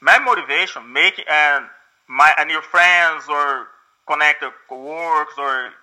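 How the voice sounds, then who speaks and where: A man's voice: fairly high pitch, bright, forceful tone, rough recording. A Brazilian man with a Portuguese accent, a guest learning English, speaking hesitantly and searching for words. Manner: hesitant, many fillers and restarts, searching for words. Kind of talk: casual conversation.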